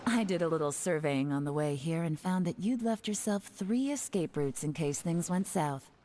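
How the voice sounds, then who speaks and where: An actress playing a cool, confident, teasing investigator in a video game, dry and assured in delivery. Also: female voice, sits low, moderate pace, dark tone, slight background noise, lightly acted. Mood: cool, taunting.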